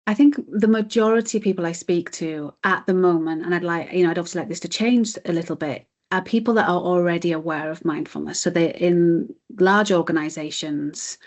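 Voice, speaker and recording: A woman's voice: on the low side, a little mellow. A British woman giving earnest, explanatory answers as the guest in a conversational interview. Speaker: interview guest, chief executive of a mindfulness charity.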